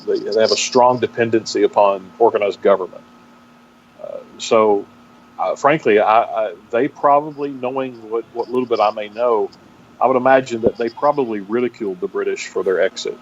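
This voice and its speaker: A middle-aged man with a Texan accent giving a reflective interview as a guest, speaking haltingly and searching for his words. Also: lowish voice. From Texas, United States. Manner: halting, searching for words with repeated false starts. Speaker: interview guest, pastor and seminary teacher. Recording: dull, band-limited audio with faint noise.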